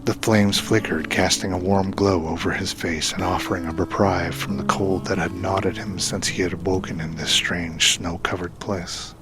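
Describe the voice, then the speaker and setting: A man narrating a dramatic scripted story in a measured, solemn way, with phrases sometimes falling hushed and breathy. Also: low voice, fairly even intonation. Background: background music.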